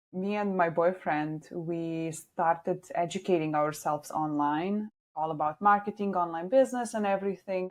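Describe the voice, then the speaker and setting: Young Slovenian-accented woman guest, lowish voice, easygoing chat full of "like.". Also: darkish tone. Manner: chatty, frequent "like" fillers. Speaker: guest, social media manager. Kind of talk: casual interview chat.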